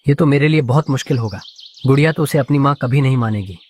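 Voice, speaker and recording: A man voicing a gentle, caring father bird in a cartoon, with a reassuring manner. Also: medium pitch, fast, breathy edge, lightly acted. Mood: troubled. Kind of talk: animated story dialogue.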